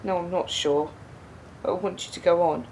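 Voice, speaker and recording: Woman acting a low, gravelly, wounded confronter. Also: normal speed, natural voice. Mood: bitter, pained. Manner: probing, pointed, echoing words back. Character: bereaved, wounded woman confronting a man, controlled bitterness. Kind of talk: naturalistic radio play dialogue. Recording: steady background noise, low rumble.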